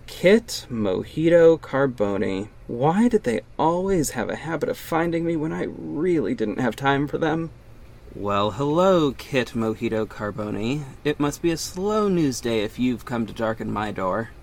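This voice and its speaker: A man's voice: mid-range voice, expressive, unhurried, creaky, darkish tone. A man playing a wealthy orphaned young hero in an audio drama, guarded and earnest, with dry sarcasm and a defensive edge. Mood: sarcastic, defensive. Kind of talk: first-person audio drama narration and dialogue.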